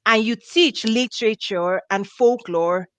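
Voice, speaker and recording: A woman's voice: on the high side, unhurried, a bit bright, smooth, dry recording. A middle-aged woman from Nigeria hosting a video call interview on a headset, bubbly and laughing often. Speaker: host. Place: home office with diplomas.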